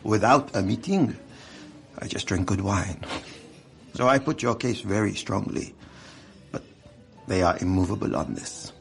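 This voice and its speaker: A suave Corsican gangster in a radio drama, low and gravelly. His French accent is worldly and genial, and he bargains slyly. Faint music sits behind him. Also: measured pace, pauses often. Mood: firm, bargaining.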